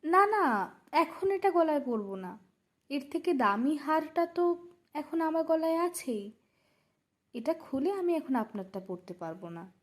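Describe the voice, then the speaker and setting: A woman voicing a willful young village daughter-in-law in a cartoon dub, with a high, lively voice. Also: a little slow, long pauses. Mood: refusing. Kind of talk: cartoon dubbing.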